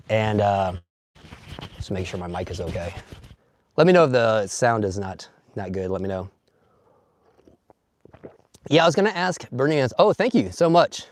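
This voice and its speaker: A slightly hoarse man chatting casually on a phone live stream outdoors at a campsite, laughing often and greeting viewers one by one. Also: mid-range voice, no echo. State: slightly hoarse after losing his voice. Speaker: vlogger. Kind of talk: casual live stream chat, reading comments. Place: outdoors at a campsite in bright sun.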